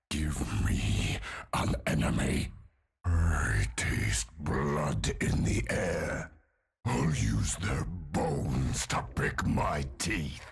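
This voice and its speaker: An actor voicing a huge, bloodthirsty undead warrior for a video game, gravelly and snarling through gritted teeth. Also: male voice, a bass voice, exaggerated voice. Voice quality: gravelly, guttural, raspy. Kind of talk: video game character voice lines.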